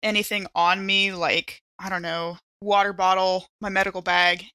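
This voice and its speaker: Bright-voiced woman playing a tabletop game. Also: mid-range voice, flat delivery, normal speed, dry recording. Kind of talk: casual game table chat, thinking aloud.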